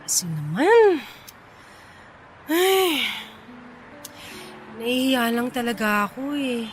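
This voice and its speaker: An actress playing a proud, easily irritated but bashful young office boss in a Filipino radio drama, with a Filipino accent. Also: female voice, sits high, big pitch swings, slow, soft background music, full character voice. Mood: hesitant, bashful. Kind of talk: radio drama acting in Taglish.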